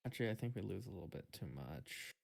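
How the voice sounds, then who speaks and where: A woman's voice: a bass voice, animated, fast talker, gravelly, a little mellow. A woman making dry, teasing remarks in a casual stream chat.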